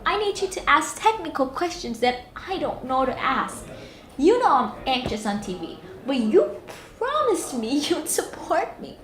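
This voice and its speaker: Woman acting earnest female journalist, high animated voice, indignant, argumentative, audio drama, roomy recording. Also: measured pace, heavily acted. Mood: hurt, accusing. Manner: emphatic, argumentative, articulate.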